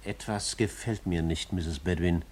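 A man playing a kindly but cautious older gentleman in a 1950s German radio play, measured and courteous. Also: low voice, steady tone, husky. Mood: doubtful.

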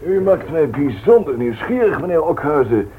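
An actor with a Dutch accent playing a formal, easily astonished board member in a 1960s radio drama. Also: male voice, fairly high pitch, sing-song, moderate pace, slight background noise. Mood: curious. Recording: thin phone-like old radio recording.

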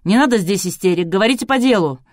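A woman's voice: medium pitch, normal speed, a little mellow, no echo, lightly acted. A domineering man who runs a TV show, played by a woman narrator in a storybook reading. He is brusque and demanding, barking his words with force in a very dry recording. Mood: explosive. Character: brusque, domineering male TV producer, angry and commanding.

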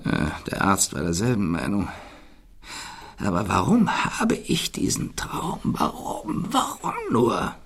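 An irascible, eccentric old millionaire for a radio play. His husky, gravelly voice leaps wildly between low grumbles and high outbursts. He sounds imperious, theatrical and abrupt. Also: wildly swooping pitch, slow pace, full character voice. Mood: anxious, insistent. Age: older. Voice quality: raspy, gravelly voice.

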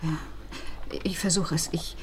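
An actress playing a frightened but stubborn hostage in a German radio crime drama, tense and defensive. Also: female voice, measured pace, a little hiss or hum, lightly acted. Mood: anxious. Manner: tense, defensive, often hushed. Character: frightened but stubborn adulteress held by robbers.

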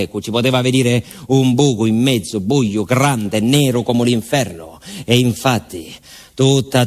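A man's voice: mid-range voice, close to an everyday voice. A male actor playing a folksy village postman with a Southern Italian accent, telling his story in a rambling, colloquial theatrical monologue full of asides. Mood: wry, rueful. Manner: colloquial, digressive, with rhetorical asides and repetitions.

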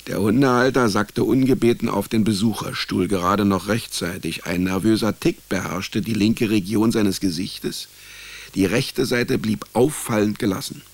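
A man's voice: fairly low pitch, normal speed, dry recording. An actor playing a wry, world-weary male private detective, narrating a radio drama in a dry, ironic, laconic manner. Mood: dry, suspicious. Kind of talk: radio drama first-person noir narration and dialogue.

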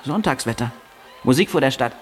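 An actor playing a simple, easygoing soldier comrade in a radio drama, offhand and folksy. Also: male voice, mid-range voice, animated, brisk, close to an everyday voice. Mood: offhand, unbothered. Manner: offhand, unbothered, clipped folksy phrases. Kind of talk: German radio drama acting.

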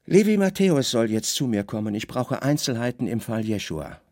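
A man's voice: medium pitch, very animated, moderate pace, darkish tone, no echo, studio quality, close to an everyday voice. A man voicing a stern, commanding Roman governor in a radio drama, terse, imperious and troubled.

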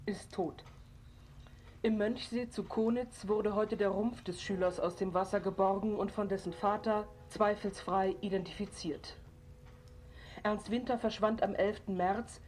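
An actress playing a formal, factual radio newsreader in a radio drama, announcing in bulletin style. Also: female voice, medium pitch, a little mellow, slight background noise, close to an everyday voice. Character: formal radio newsreader announcing grim small-town news.

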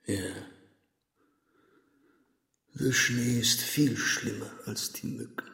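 An actor playing a gloomy, absurd, vodka-drinking interrogator in a radio drama, deadpan and resigned. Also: male voice, mid-range voice, slow, gravelly, full character voice. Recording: roomy, reverberant studio drama scene.

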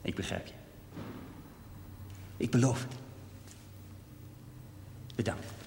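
A man playing a thoughtful, sceptical man of science in a 1970s television drama, measured and questioning. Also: fairly low pitch, a little mellow. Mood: subdued. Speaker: actor. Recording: echoey hall, clear background noise.

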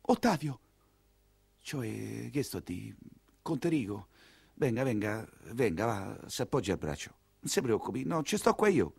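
A man's voice: mid-range voice, sing-song, unhurried, gravelly, a little mellow, slightly rough, dry recording, heavily acted. An actor playing an earthy Roman coachman, telling his story in a colloquial, wry theatrical monologue with a Roman accent. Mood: gentle, concerned. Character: earthy Roman coachman narrator, plain-spoken, compassionate working man.

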